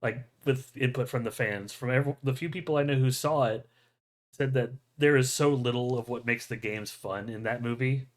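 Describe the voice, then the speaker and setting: Guy hosting a podcast, medium pitch, loose sweary fan chat, really into it. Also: normal speed. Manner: profane, enthusiastic fan talk. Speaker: podcast host. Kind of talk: casual chat about movie news.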